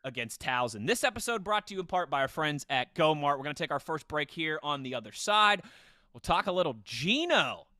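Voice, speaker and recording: Bright, clear-voiced man hosting sports talk. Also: a little high, very animated, a little fast, dry recording, studio quality. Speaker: podcast host.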